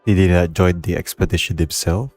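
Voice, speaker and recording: Young traveler hero male actor: deep, calm, matter-of-fact game dialogue, faint music behind. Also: fairly quick, close to an everyday voice. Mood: calm, curious. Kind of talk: video game voice acting.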